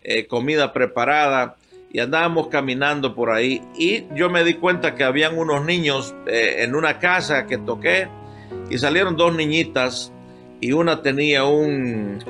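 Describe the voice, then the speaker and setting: An older man from Honduras, a pastor, telling his calling in a heartfelt, halting way, moved by the memories. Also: medium pitch, bright, forceful tone. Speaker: pastor guest. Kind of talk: emotional personal testimony.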